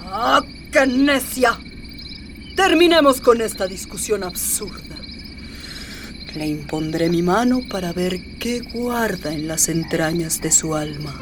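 An actress playing a haughty, imperious young gothic high priestess in a radio drama, disdainful in manner. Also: female voice, expressive, slow, far from the mic, noisy background. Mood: scornful.

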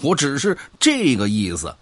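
A man acting an argumentative know-it-all in a sci-fi radio play, recorded close and dry. His pitch moves in a lively way as he needles and lectures his drinking buddy. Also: normal speed, slightly rough, dry recording. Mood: scoffing, insistent. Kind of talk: Chinese sci-fi audio drama dialogue.

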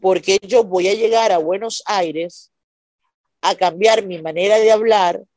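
A woman's voice: medium pitch, measured pace, a little mellow, no echo. A woman with a Venezuelan accent from Maracaibo, talking as a podcast guest in a conversational, explanatory way and often checking that she is understood. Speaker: podcast guest, stand-up comedian. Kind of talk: conversational interview storytelling.

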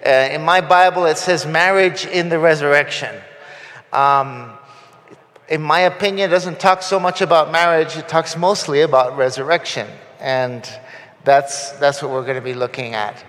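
A man's voice: a little high, steady tone, moderate pace, a bit bright, in a large hall. A man from Costa Rica giving a conversational sermon, with frequent fillers and restarts. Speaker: guest preacher. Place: church sanctuary.

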